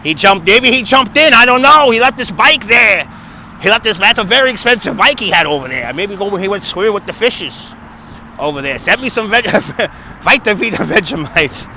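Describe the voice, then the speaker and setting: Man, New York accent, high bright voice, chatty livestream host, outdoors on a phone, faint street noise. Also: lively intonation. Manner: chatty, answering viewers, quick laughs. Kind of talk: casual live walking stream, answering chat. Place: outdoors on a city waterfront. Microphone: phone on a handheld gimbal.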